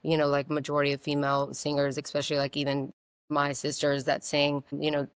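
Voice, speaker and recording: Raspy woman, flat delivery. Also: sits low, brisk, dry recording. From South Louisiana. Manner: open, rambling, many fillers. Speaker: singer-songwriter guest. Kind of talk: casual interview answers.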